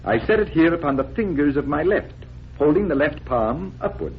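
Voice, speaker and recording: An actor playing a confident, genial stage magician in 1940s radio style, with theatrical, deliberate patter. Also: male voice, on the high side, animated. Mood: showy, teasing. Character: genial male stage magician explaining tricks, showmanlike, confident. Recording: noisy, dull vintage 1940s radio recording.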